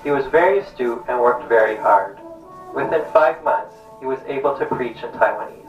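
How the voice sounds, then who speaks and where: Muffled roomy sound, male narrator, scripted puppet show narration, medium pitch, music behind. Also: normal speed. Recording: distant echoey muffled recording over music.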